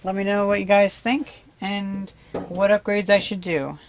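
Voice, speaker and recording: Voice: medium pitch, flat delivery, unhurried. A vlogger giving a casual, unscripted demonstration, musing and tentative as if thinking aloud. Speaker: vlogger demonstrating vintage audio gear. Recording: clicks and background noise.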